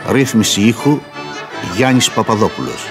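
A man's voice: on the low side, sing-song. A man reading scripted credits formally as a radio announcer. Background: loud brass music.

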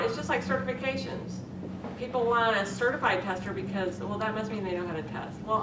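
A woman on a conference panel gives emphatic, opinionated explanations. Her mid-range voice is picked up from a distance in an echoey hotel meeting room, over noticeable rumble. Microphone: distant room microphone.

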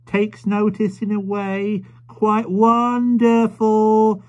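A man's voice: high voice, huge pitch swings, a little slow, dark tone, slightly rough, lo-fi sound, pitched above the performer's own voice, exaggerated voice. A man doing a breathless, shrieking young mother. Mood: eager. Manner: shrieking short sentences.

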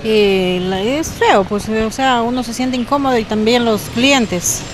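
A woman's voice: on the high side, measured pace. A woman in her thirties working a food stall, answering a reporter plainly into a handheld mic. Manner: plain, matter-of-fact answers. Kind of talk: street interview. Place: outdoor food stall on a street. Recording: street noise behind.

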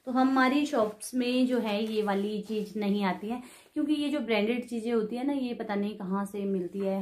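A woman's voice: medium pitch, a little mellow. Chatty woman vlogger in an echoey room. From Himachal Pradesh, India. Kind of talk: casual shopping haul chat. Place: bedroom at home, indoors. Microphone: camera in front, medium framing.

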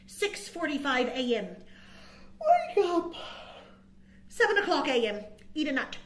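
High-voiced, animated woman reading a picture book. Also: a little hiss or hum. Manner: expressive, dramatic, emphatic storytelling. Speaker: children's librarian, storytime host. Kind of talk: picture-book read-aloud for young children with asides. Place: small indoor room. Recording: roomy, echoing indoor sound.

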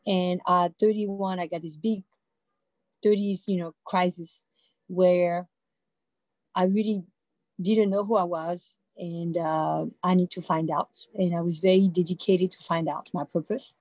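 A woman's voice: lowish voice, steady tone, a little slow, a little mellow, no echo, poor audio. A woman in her forties from France with a French accent, telling her own story as a panel guest on a video call, loose and conversational with many fillers and restarts. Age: 40s. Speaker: panel guest, visual artist. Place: indoor room with a warm-lit backdrop. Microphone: laptop or phone mic on a video call.

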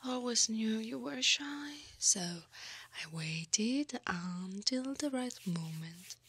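Woman as a slow, breathy, caring older centaur lady. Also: a little high, animated, no room sound, heavily acted. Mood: soothing, affectionate. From Italy. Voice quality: breathy, often whispering. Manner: slow, soothing, softly teasing. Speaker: ASMR roleplay performer. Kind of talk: ASMR roleplay.

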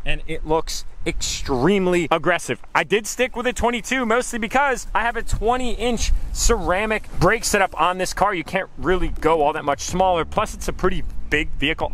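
A man's voice: fairly high pitch, fairly bright tone, slight background noise. A man in his thirties vlogging outdoors to a handheld camera, eagerly explaining car parts while losing his voice. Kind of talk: casual vlog talk to camera. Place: outdoor parking lot and driveway. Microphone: handheld camera at arm's length.

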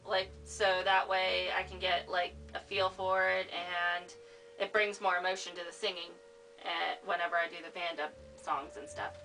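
Bright-voiced young American woman chatting informally. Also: on the low side, level tone, normal speed, faint music behind, poor audio. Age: young adult. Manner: rambling, informal. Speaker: tutorial host, amateur fandubber. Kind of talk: casual unscripted tutorial chat. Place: cluttered room at home.

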